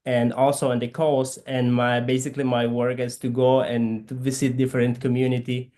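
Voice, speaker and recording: A man from Guatemala with a Guatemalan Spanish accent, whose first language is K'iche', speaking haltingly as an interview guest and searching for words. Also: medium pitch, completely flat delivery, mellow. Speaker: guest, physical therapist missionary. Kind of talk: conversational interview.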